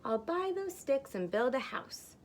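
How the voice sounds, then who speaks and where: Little pig, male, cocky and brash female reader playing him, high sing-song storybook voice with swoops. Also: sing-song, a little slow, a little hiss or hum. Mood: cocky, dismissive.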